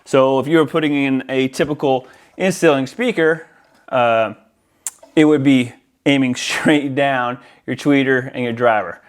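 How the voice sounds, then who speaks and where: A man's voice: mid-range voice, unhurried, smooth. An American man giving a casual, explanatory product unboxing and demonstration in an informal, somewhat hesitant way. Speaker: audio-video installer presenting a product. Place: indoors in a house, near a window.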